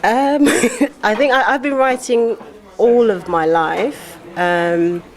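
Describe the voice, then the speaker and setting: A woman's voice: a little high, slow pace. A middle-aged woman from Guyana, an author and poet, talking in a casual interview with many fillers and restarts.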